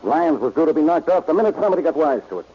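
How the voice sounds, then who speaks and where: Grumpy blustering cop boss, husky and a bit high, muffled old radio. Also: male voice, very animated, racing, warm tone. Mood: grudging, calmer. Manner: blustery, curt. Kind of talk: 1940s radio acting. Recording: muffled, dull vintage radio recording.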